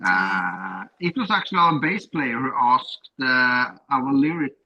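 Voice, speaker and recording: Man, Norwegian native speaker, drummer guest, hesitant, mid pitch, dull dry lo-fi sound. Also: animated, slow, bright, forceful tone, no echo. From Norway. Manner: hesitant, filler-heavy, plain-spoken. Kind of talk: casual interview answers.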